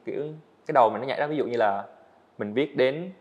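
A man's voice: medium pitch, fast talker, lo-fi sound. A young man, a guest animator, earnestly explaining his work step by step in a casual interview on a studio set.

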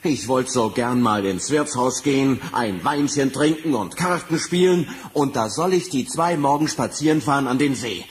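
A man's voice: medium pitch, animated, normal speed, hardly any pauses, lightly acted. A man playing a coarse, cursing royal coachman in a fairy-tale radio play. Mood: angry, grumbling. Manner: blustering, coarse. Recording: noisy vinyl record transfer.

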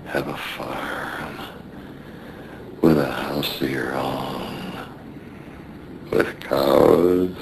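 An actor playing a dying, wistful seasoned sailor in a radio drama, talking haltingly and wearily in a weak, gravelly voice. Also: male voice, a little mellow, exaggerated voice. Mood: wistful longing. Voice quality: gravelly, creaky. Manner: halting, weary, drifting. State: weak, failing. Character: dying, wistful seasoned American sailor reminiscing to his mate. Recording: noisy radio drama with rumbling ambience.

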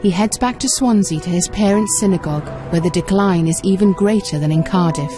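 A woman's voice: on the low side, fairly quick, music in the background. A woman reading scripted narration for a television documentary.

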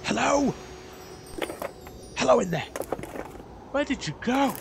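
A woman's voice: fairly high pitch, lively intonation, slow pace, vocal fry, music in the background, full character voice. A woman playing a grasping, grumpy old man in an audio drama, muttering and exclaiming. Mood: puzzled.

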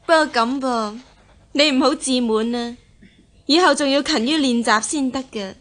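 A woman's voice: high-pitched, normal speed, a bit bright. An actress playing a confident, well-born young woman in a historical radio drama, self-assured, with a Hong Kong Cantonese accent. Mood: assured, instructive. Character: confident young gentlewoman, martial-minded daughter of official's household. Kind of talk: radio drama acting in historical costume piece.

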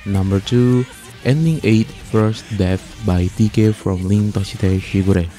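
A man hosting a countdown review as a voice-over, speaking haltingly and stretching key words. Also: lowish voice, dark tone. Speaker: host of an anime music ranking channel. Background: music playing under the voice.

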